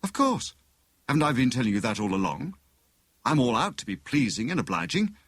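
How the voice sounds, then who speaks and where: A cheerful, flippant young gentleman adventurer, acted by a male narrator, full of breezy storybook banter. His pitch bounces about and the sound is dry and close. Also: big pitch swings, fairly quick, slightly rough, dry recording. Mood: affable. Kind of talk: dramatised audiobook dialogue.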